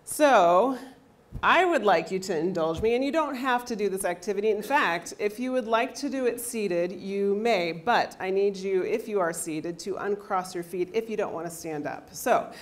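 A woman in her thirties teaches a health class in a university lecture hall, speaking into a handheld microphone. Her voice is loud and energetic, at a middle pitch. She keeps checking in with the audience as she explains. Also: moderate pace. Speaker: athletic trainer and lecturer.

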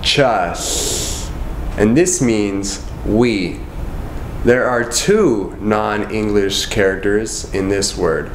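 A young man with a fairly low voice leads a language lesson, speaking slowly and evenly. He repeats each phrase with measured care. The room gives his voice a roomy echo, with a faint hum behind it. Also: animated. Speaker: language teacher. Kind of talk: slow, careful language lesson. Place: indoors, in front of a wooden wall.

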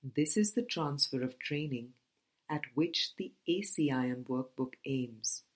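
A woman with a very low-pitched voice reads from a book. Her pace is very slow, and each phrase is set out in a measured, deliberate way, as in an audiobook. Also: animated, darkish tone. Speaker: audiobook reader. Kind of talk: scripted book reading.

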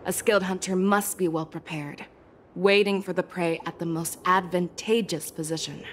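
A woman voicing a calm commanding general. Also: lowish voice, a little hiss or hum, lightly acted. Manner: measured, lecturing, hushed at times. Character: commanding female general, calm sharp strategist. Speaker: military general. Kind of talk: game cutscene voice acting.

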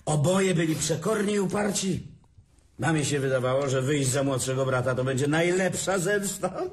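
A man's voice: on the high side, moderate pace, close to an everyday voice. An actor playing a bitter, drunk older brother who mocks and laughs at his sibling in a radio drama. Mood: taunting. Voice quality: sneering, laughs often.

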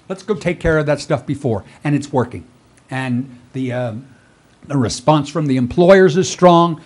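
A middle-aged man reporting to a city council on a workforce program, earnest and quick, with plenty of ums and restarts. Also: a little high. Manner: earnest, fast-moving with frequent fillers. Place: council chamber, standing before seated audience.

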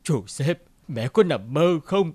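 A man's voice: a little high, big pitch swings, takes it slowly, very noisy. A man voicing a grieving, suspicious old mother in a cartoon fairy tale, plaintive and dramatic. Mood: grieving, emotional. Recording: loud music behind the voice.

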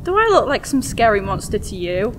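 A woman playing a poised, alluring witch countess with smooth, coaxing delivery in a fantasy audio drama. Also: medium pitch, big pitch swings, fairly quick, background noise, natural voice.

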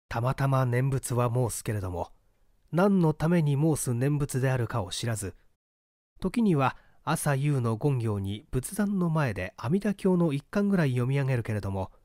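Expressive male literary narrator. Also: medium pitch, no echo, clean studio sound. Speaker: audiobook reader and stage actor. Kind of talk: dramatic scripted literary narration.

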